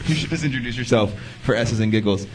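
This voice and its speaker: A man's voice: mid-range voice, quick pace, faint music behind. A man hosting a radio podcast, chatting casually with his guests and laughing often. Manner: playful, laughs often, upbeat. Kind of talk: casual interview chat.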